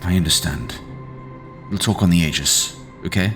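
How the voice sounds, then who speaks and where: An actor playing a steady, protective military captain, measured and reassuring, in a science fiction audio drama. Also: male voice, sits low. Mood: gentle. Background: music.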